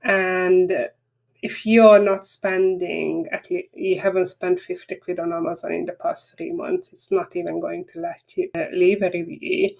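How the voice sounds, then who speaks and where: A woman's voice: on the low side, lively intonation, measured pace, vocal fry, a little mellow. A woman with a Hungarian accent, a native Hungarian speaker, closing a marketing webinar in a chatty, rambling, frank way. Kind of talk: casual webinar wrap-up talk.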